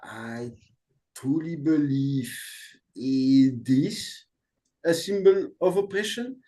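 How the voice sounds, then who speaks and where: Man from Belgium, mellow voice, non-native speaker, earnest, halting. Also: medium pitch, poor audio. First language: not English. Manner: earnest, halting, with many restarts and fillers. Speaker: podcast guest. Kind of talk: casual conversation.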